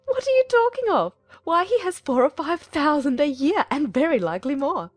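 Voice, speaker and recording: Shrill, gushing, fussy mother. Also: big pitch swings, normal speed, no echo, soft background music. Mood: indignant, thrilled. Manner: effusive, exclaiming. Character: excitable, gushing middle-aged English mother, fussy and overjoyed. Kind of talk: dramatized audiobook acting of a period novel.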